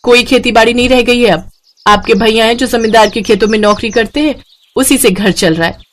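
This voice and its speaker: A woman voicing a resentful, sharp-tongued village daughter-in-law in a story, blunt and complaining. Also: fairly high pitch, a little fast, lightly acted. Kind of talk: acted Hindi moral story dialogue.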